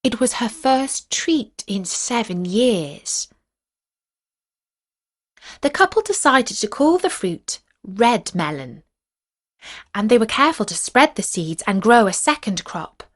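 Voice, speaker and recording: A woman narrating a folk tale as a storyteller, reading from a script in a measured, expressive storybook manner. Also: a little high.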